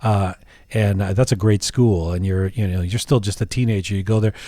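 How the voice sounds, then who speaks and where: A man's voice: on the low side, steady tone, brisk, dark tone, no echo. A man hosting an interview podcast, musing aloud with many restarts and false starts. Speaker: podcast host.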